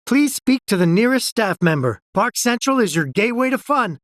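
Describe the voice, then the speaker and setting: An actor playing an eager, helpful amusement park greeter in a video game, with brisk, projected customer-service cheer. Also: male voice, extremely high pitch, big pitch swings. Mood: eager, welcoming. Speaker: park guide. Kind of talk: video game character dialogue.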